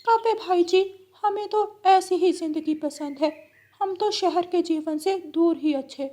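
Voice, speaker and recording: Woman voicing a very high-pitched cartoon parrot. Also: unhurried, dark tone. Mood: mildly defensive. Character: humble, hardworking male village parrot. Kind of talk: children's cartoon story acting.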